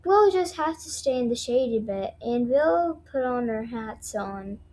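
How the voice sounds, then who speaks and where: A woman's voice: a little high, animated, unhurried, mellow. A woman voicing a carefree, forgetful dog dad from a children's storybook, in a casual, easygoing manner.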